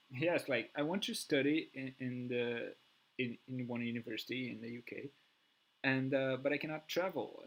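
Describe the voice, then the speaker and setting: A man's voice: mid-range voice, rough recording. A man hosting a live online session, asking questions with lots of restarts and fillers. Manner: hesitant with many restarts and fillers. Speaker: host. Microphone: video call.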